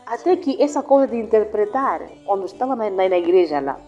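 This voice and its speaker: A woman's voice: mid-range voice, animated, lo-fi sound, soft background music. Earnest woman pastor, Swahili native. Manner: earnest, reflective, with pauses and restarts. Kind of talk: testimony in a conversational interview.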